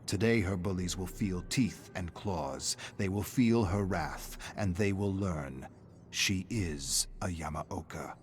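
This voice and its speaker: A middle-aged man giving measured, theatrical scripted narration of a dramatic story. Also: sits low, over music.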